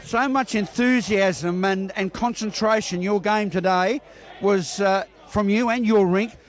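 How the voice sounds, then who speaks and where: A man's voice: high voice, unhurried. An Australian man interviewing bowlers outdoors after a match, chatty and full of congratulations. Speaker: sports reporter. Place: outdoor bowling green.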